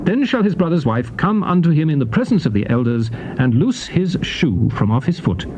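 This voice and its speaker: A male actor playing a solemn lawgiver in a dramatized King James Bible reading, speaking in a measured, declamatory manner. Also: fairly high pitch, very animated. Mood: solemn, commanding. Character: solemn male lawgiver addressing his people in scripture. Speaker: scripture narrator. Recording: dull noisy old cassette tape.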